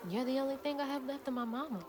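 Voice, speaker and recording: A woman playing a plucky, stubborn young Southern woman in a video game, earnest and expressive, with an American Southern accent. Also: high-pitched, racing, natural voice. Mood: relieved, wondering. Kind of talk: video game cutscene acting.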